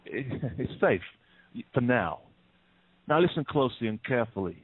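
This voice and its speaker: A man's voice: medium pitch, very animated, normal speed, slight background noise, close to an everyday voice. A man playing a smug, menacing bank robber in an audio play, speaking with taunting, mock-polite deliberation. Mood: cold, menacing. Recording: muffled narrow phone-like sound.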